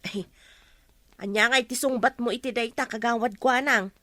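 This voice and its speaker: A woman's voice: fairly high pitch, fairly even intonation, moderate pace, sharp tone, no echo. A woman playing an eager, gossipy village woman in a comedy radio drama, chatty and exclamatory. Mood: nosy.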